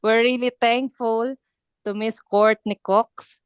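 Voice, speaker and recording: Filipino-accented woman, slow unhurried pace, smooth clear tone, dry close sound, halting english. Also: on the high side, steady tone, no echo. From Philippines. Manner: halting, searching for words, many fillers and restarts. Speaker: band singer being interviewed. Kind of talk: casual interview chat.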